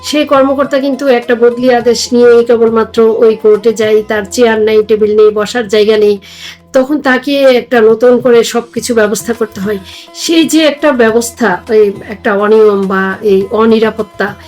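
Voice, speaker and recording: A woman's voice: on the high side, fairly even intonation, moderate pace, darkish tone, faint music behind. A mature woman with a Bangladeshi accent speaking as a guest in a formal discussion, earnest and deferential. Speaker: guest, former judge.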